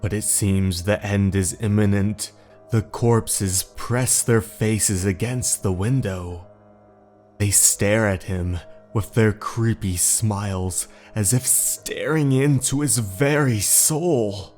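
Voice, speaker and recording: A man narrating a horror story in a slow, dramatic, brooding way. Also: lowish voice, darkish tone, faint music behind.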